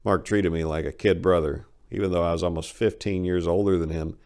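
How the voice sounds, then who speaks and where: A man's voice: low voice, steady tone, brisk, a little mellow. A man giving a dramatic reading of a short story as its first-person narrator.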